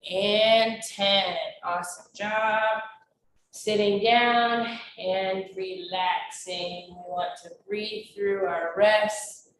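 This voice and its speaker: A woman instructor leading a chair stretching class with slow, encouraging counts, a little stuffy from a cold. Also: medium pitch. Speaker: fitness instructor. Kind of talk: guided exercise class with counting. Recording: very echoey, distant, faint noise.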